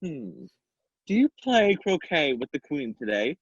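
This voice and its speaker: A slightly muffled recording of a sly, mad storybook cat played by a woman. The delivery is slow and teasing, swooping lazily up and down with amusement. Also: deep voice, sing-song, slightly rough, no room sound, exaggerated voice. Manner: teasing, leisurely, chuckling. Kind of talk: dramatic reading of a children's classic.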